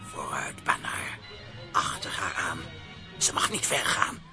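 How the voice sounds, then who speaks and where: An actor playing a scheming, excitable stage magician in an exaggerated children's radio play style. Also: male voice, slow pace, faint music behind. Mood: sly, scheming. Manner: exaggerated, swooping, stammers when flustered.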